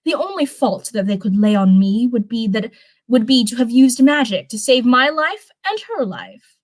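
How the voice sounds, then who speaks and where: A woman's voice: fairly high pitch, lively intonation, normal speed. Woman reading as a cold, defiant young witch. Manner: cold retorts.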